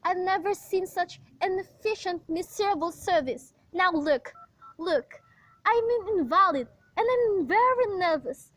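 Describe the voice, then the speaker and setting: A woman's voice: extremely high pitch, lively intonation, a little slow, a bit bright, no room sound, exaggerated voice. A woman playing a nervous, demanding bedridden invalid in an amateur radio play, agitated and imperious, exclaiming and repeating herself. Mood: irritated, complaining.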